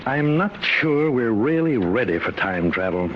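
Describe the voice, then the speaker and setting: Grave professor, noisy old radio recording. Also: male voice, medium pitch, sing-song, a little slow, lo-fi sound. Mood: earnest, confiding. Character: grave, worried professor inventing time travel, fatherly. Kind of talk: 1950s radio drama acting.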